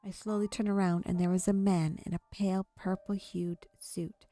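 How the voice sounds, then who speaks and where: Mellow woman reading a story aloud, music behind. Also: lowish voice, flat delivery, normal speed. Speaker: streamer reading a visual novel aloud. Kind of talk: expressive story read-aloud for a gameplay video. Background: game music.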